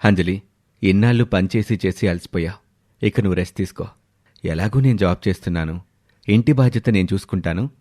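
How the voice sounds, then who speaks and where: A man's voice: low voice, brisk, pauses often, voice pushed down. Caring, reassuring young husband played by a man. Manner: gentle, reassuring.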